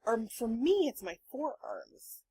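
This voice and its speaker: A woman hosting a podcast in casual chat, telling rambling stories in an animated, sweary way. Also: a little high, slow pace, husky, poor audio. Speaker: podcast host.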